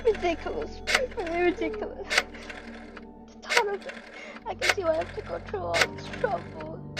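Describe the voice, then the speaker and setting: A woman's voice: very high-pitched, normal speed, vocal fry. A woman acting an anxious, demanding, bedridden wife in a radio play, agitated and indignant as she insists on being heard. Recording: muffled recording under loud dramatic music.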